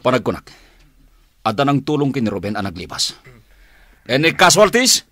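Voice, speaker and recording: An actor with a Filipino accent playing a commanding police chief in a radio drama, giving clipped, authoritative orders. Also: male voice, medium pitch, big pitch swings, normal speed, pushed and bright. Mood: urgent. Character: commanding male police chief leading a manhunt.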